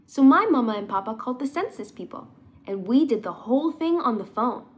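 A woman plays a proud little girl in a children's storybook, high and bouncy in pitch. The girl talks boldly and emphatically about her life. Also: expressive, brisk, lightly acted. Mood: proud, cheerful. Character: proud, loud little girl from migrant farmworker family.

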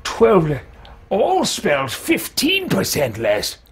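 A man voicing a fussy, absent-minded, genteel fantasy wizard, musing in formal, deliberate phrases as he roleplays through a video game. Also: high-pitched, huge pitch swings, breathy edge, exaggerated voice. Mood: puzzled, musing. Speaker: let's-play streamer roleplaying his game character. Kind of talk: in-character game roleplay commentary. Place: small indoor room at home.

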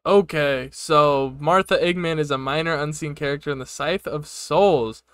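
A man's voice: on the high side, normal speed, fairly bright tone, smooth, dry recording. A young man giving casual reaction commentary in amused, slangy asides, close on a desk microphone. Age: young adult. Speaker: reaction streamer. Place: small room indoors at a desk. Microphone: desk microphone close in front, with headset. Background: game video audio with music.

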